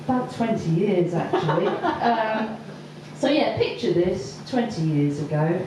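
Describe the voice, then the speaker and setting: A woman doing stand-up, telling a story slowly with many ums and pauses. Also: mid-range voice, expressive, noisy background. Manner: hesitant, lots of ums, slow deliberate delivery. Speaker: stand-up comedian. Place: comedy venue with audience laughter. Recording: echoey far-off live room recording.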